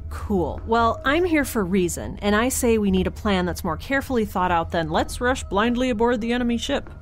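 A woman playing a quirky, excitable young woman in a space audio drama, chatty, wry and rambling. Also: on the high side, fairly quick, lightly acted. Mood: eager, wry.

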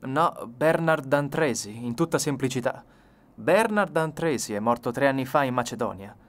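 A young gentleman thief from an audiobook story, acted out by a man. He is suave, evasive and sure of himself, with a medium-pitched voice. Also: lively intonation, normal speed, lightly acted. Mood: defiant.

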